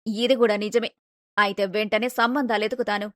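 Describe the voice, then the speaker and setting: A woman's voice: mid-range voice, little pitch movement, brisk, fairly bright tone, no room sound, clean studio sound, close to an everyday voice. A woman playing a contented, agreeable middle-aged mother-in-law in a family story.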